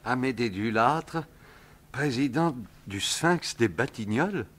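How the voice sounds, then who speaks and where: An actor playing a detective's sober gentleman companion and narrator in a French radio mystery, speaking in a measured way. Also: mid-range voice, lively intonation.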